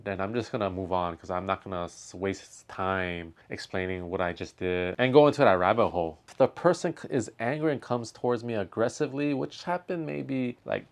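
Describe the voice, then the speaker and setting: A man's voice: on the low side, clean tone. A man hosting a reaction video, thinking aloud in casual commentary and talking straight to the viewers. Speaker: reaction video host, street photographer.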